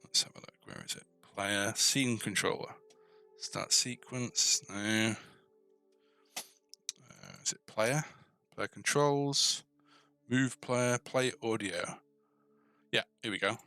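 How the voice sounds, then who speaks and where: Low, bright-voiced man thinking aloud while coding. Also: creaky, no echo, faint music behind. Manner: informal, tentative, pauses mid-thought as he works. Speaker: host of a coding tutorial stream. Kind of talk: casual live coding walkthrough, thinking aloud.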